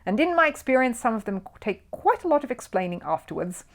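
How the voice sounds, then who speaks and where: Woman putting on a brisk, gruff gentleman surgeon. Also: a little high, big pitch swings, voice pushed up. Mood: amused. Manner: brisk, clipped, dryly humorous. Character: brisk, distinguished male surgeon, gruff but twinkling. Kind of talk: children's storybook character voice.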